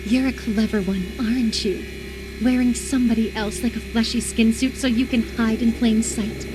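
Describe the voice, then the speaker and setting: A woman playing an obsessive, sweetly menacing young female captor in a dramatic horror visual novel, coaxing with a sharp edge. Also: a little high, fairly even intonation, normal speed, noisy background, close to an everyday voice. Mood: eerie, accusing.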